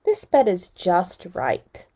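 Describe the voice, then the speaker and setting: A woman voicing a fussy young girl in a storybook read-aloud, speaking slowly and plainly. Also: on the low side, huge pitch swings, dark tone, full character voice.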